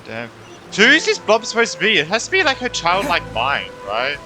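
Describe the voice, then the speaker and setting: A man's voice: sits high, wildly swooping pitch, normal speed, pushed and bright, faint music behind. A man doing casual gameplay reaction commentary as a streamer, blunt and joking, with swearing.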